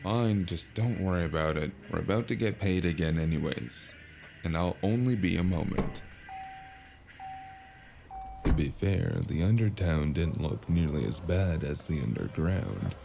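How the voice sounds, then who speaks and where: Guy doing dry weary noir detective type, super low gravelly voice, music behind him. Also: a little slow, warm tone. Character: male freelance investigator, dry, weary, awkwardly flirtatious noir loner. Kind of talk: cyberpunk noir audio drama with first-person narration.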